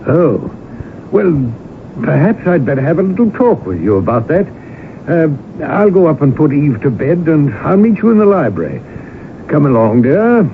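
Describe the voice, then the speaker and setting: A courtly old-school colonel, fussy and insinuating, with a creaky, lively voice. He hesitates like a practised spinner of tales in a radio play, through a tinny, noisy recording. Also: a little high, moderate pace. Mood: genial, fussy. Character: genial, fussy colonel, a compulsive tall-tale-telling husband. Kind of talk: 1980s radio drama acting.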